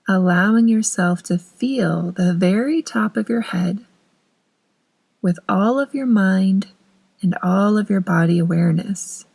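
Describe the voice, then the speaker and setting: A woman narrating a guided meditation in a soothing, unhurried manner with long pauses between phrases. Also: medium pitch, gravelly, a little mellow, husky, poor audio.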